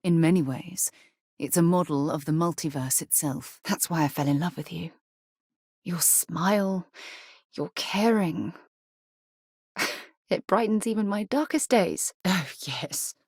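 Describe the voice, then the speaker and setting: A principled space explorer leader in a video game, a woman with a low-set, slightly husky voice. She speaks in a measured, formal and earnest way. The studio sound is dry and close. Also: a little mellow, no echo. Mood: composed. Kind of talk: game voice lines.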